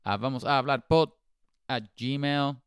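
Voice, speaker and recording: Very clear-voiced podcast host. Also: male voice, mid-range voice, slow pace, no echo, clean studio sound. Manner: playful, exclamatory, laughs often. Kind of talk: casual podcast chat mixing Spanish and English.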